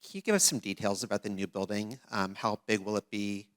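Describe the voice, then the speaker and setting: A man's voice: fairly low pitch, fairly quick, a bit bright. A male newspaper reporter asking short, direct questions at a press conference.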